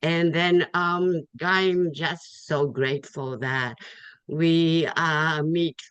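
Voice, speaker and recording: A woman from Japan with a Japanese accent, giving an informal, heartfelt testimonial in a halting way, searching for words and repeating phrases. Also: deep voice, steady tone, fairly bright tone, clean tone, no room sound, highs cut off. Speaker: Tai Chi student giving thanks at an online gathering. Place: online video call.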